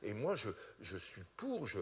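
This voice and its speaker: Animated French-speaking pastor, lively pitch. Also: male voice, measured pace. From France. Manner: animated, rising to loud peaks. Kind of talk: testimony sermon.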